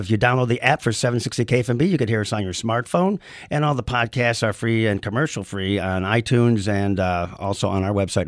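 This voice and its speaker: A man hosting a live radio talk show from a studio, slightly hoarse, chatty, with frequent fillers and laughs. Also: fairly low pitch, no echo. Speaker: radio host. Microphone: studio microphone.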